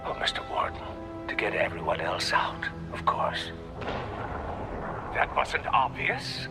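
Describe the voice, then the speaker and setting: A man's voice: lowish voice, wildly swooping pitch, slow, heavily acted. An actor playing a deranged, theatrical supervillain in a video game, smug and mocking, drawing out his words. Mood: smug, taunting. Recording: loud music over the voice.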